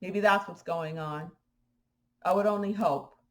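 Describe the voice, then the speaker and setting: A woman from Haiti talking casually to her viewers in a vlog, animated and indignant, with fillers and restarts. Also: fairly low pitch, a little slow. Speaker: spiritual vlogger. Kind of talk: casual spoken commentary to viewers.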